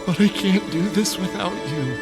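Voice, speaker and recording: Man as a very high-pitched earnest boy hero. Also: moderate pace. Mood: desperate grief. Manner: dramatic, pleading, awkwardly sincere. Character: earnest, scrappy boy hero in a fantasy quest. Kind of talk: comedic fantasy audio drama acting. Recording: long hall reverb with faint music behind.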